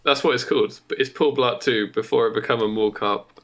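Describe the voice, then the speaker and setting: A man co-hosting a podcast, casually improvising a story in a halting way, as if thinking aloud. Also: mid-range voice. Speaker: podcast co-host.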